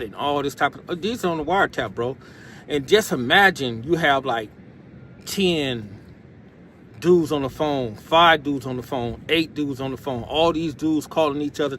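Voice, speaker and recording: A man's voice: fairly high pitch, fairly bright tone. An American man talking off the cuff like a vlogger giving advice, streetwise and emphatic, full of slang and addressing listeners as bro. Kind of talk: off-the-cuff motivational talk to camera. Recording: outdoor urban noise, passing vehicles.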